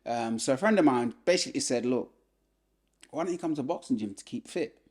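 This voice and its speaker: A man, a podcast guest telling his life story in a relaxed way, filled with "you know". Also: mid-range voice, brisk. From England. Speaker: podcast guest, former boxer. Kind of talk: casual life-story interview.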